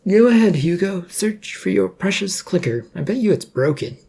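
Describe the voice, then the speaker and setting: A cheeky, anxious male underdog from an audiobook adventure, voiced by a narrator. He is wry and wordy, stalling hesitantly in a husky, mellow voice. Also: medium pitch, animated, moderate pace, pitched above the performer's own voice. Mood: taunting.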